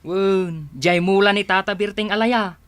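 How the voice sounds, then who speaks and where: A woman's voice: medium pitch, steady tone, measured pace, no echo, natural voice. A woman playing a whiny, scheming village spouse who feigns illness in a radio drama, complaining plaintively with an Ilocano accent. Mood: sly.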